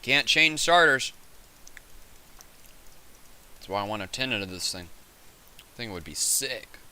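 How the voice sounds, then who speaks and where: A man with a raspy, hoarse voice chatting casually on a game livestream, rambling and thinking aloud. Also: medium pitch, expressive, measured pace, pushed and bright, a little hiss or hum. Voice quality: raspy, sore voice. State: hoarse from hours of talking. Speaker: game streamer, host. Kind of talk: casual livestream chat while gaming.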